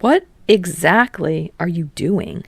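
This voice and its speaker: A woman's voice: fairly low pitch, lively intonation, takes it slowly, gravelly, husky, dry recording, full character voice. A woman voicing an earnest, easily flustered young man in an audiobook story, questioning and self-deprecating. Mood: startled.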